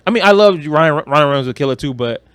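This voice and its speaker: Man, fairly high voice, close dry sound, casual podcast co-host, opinionated, slangy. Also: animated, fast, a bit bright, clean tone, no echo. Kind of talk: casual pop-culture chat.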